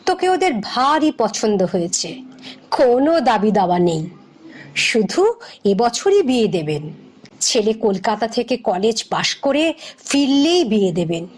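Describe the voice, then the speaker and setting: Woman as anxious elderly mother, cartoon fairy tale, very high voice, lively swings, homely exclamations, pleading. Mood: excited. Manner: exclamatory, pleading, colloquial village speech. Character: anxious elderly village mother, fretful and easily astonished.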